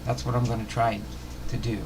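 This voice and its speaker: A man hosting a livestream, talking casually and reading aloud in a halting way with uneven phrasing. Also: mid-range voice, little pitch movement, noisy background. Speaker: host of a baseball biography livestream.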